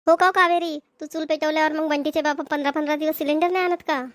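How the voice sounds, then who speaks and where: A woman's voice: high-pitched, fairly even intonation, fast, fairly bright tone, dry recording, studio quality. A woman voicing an inquisitive, chatty village neighbour who hands out advice in a cartoon comedy, with a Vidarbha Marathi accent. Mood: nosy, surprised. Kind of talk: amateur cartoon comedy dialogue.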